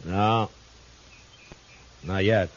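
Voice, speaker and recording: An actor playing a calm, stern, world-weary frontier marshal with a terse, dry delivery, in 1950s radio drama style. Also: male voice, sits low, very slow. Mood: steady, commanding. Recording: scratchy, muffled 1950s radio recording.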